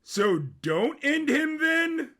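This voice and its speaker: A man voicing a brash, dim, violent prince in an audio story, loud and always questioning. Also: very high voice, big pitch swings, very slow, voice pushed up, full character voice. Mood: incredulous.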